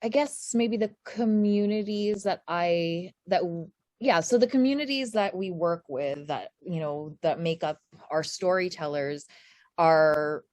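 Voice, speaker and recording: A woman's voice: fairly low pitch, unhurried, darkish tone, no room sound. A woman from the Philippines speaking on a video-call panel, candid and searching for words. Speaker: workshop panelist. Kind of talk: conversational panel talk.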